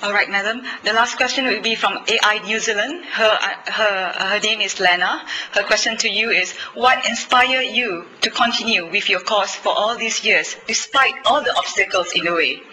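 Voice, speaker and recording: A woman's voice: medium pitch, normal speed, few pauses, bright, forceful tone. A woman, politely and deferentially relaying interview questions on a phone call. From Malaysia. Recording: faint line noise.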